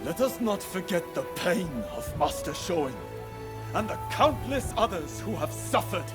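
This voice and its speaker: A man's voice: high-pitched, expressive, unhurried, music in the background. An actor playing a fervent samurai rebel leader in a video game, making a solemn, formal, impassioned speech to his followers. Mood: fiery, rousing. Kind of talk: dramatic video game oratory.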